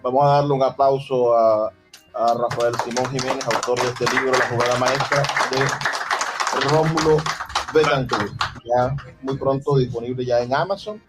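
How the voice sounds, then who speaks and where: A man speaks as an event presenter in a mid-range voice, wrapping up and giving thanks. Music plays clearly behind him. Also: mid-range voice, steady tone, normal speed, rough recording. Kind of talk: thanking a guest and closing a presentation.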